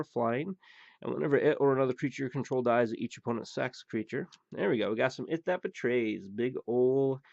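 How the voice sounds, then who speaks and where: A man hosting a pack-opening show, rambling through the cards with many fillers and blunt remarks, his voice going hoarse. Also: medium pitch, no echo. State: losing his voice. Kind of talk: casual card-opening commentary. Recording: dull, dry recording.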